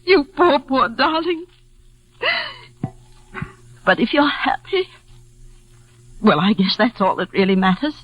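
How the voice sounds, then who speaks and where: A woman's voice: high-pitched, big pitch swings, slow pace, long pauses, muffled recording, full character voice. Emotional middle-aged mother, old radio. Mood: tearful pity. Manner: theatrical, emotional. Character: anguished, pitying middle-aged mother of a grown son. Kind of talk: 1940s radio drama acting. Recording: vintage radio broadcast with music.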